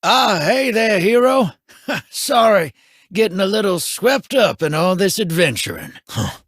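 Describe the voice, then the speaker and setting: A cocky young scout whose husky voice swings lively between high and low. He talks casually and jokes at his own expense. The recording is close and dry. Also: unhurried, dry recording, full character voice. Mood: playful. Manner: casual, joking, wry. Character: wry young human scout, easygoing and self-mocking.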